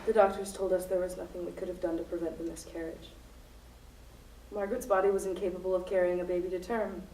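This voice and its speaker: A woman's voice: lowish voice, little pitch movement, quick pace, mellow, a little hiss or hum, lightly acted. A woman playing a distraught, grieving widow who has taken hostages, in a dramatic, poetic radio play performance. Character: distraught grieving widow, armed hostage-taker telling her love story. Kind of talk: student radio play acting. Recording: roomy distant stage recording with music.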